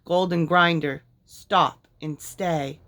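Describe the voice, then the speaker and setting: A woman voicing a kindly giant male dragon in a storybook, gently instructing in measured phrases. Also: fairly low pitch, fairly even intonation. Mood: gentle, earnest.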